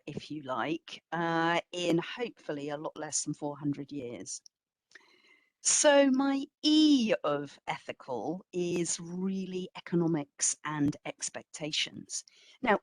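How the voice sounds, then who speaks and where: Female museum lecturer, unhurried and emphatic, slightly low register, dry close sound, conversational delivery. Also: dry recording. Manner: deliberate, emphatic phrasing with asides. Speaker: museum curator giving a talk. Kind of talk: public history lecture, conversational.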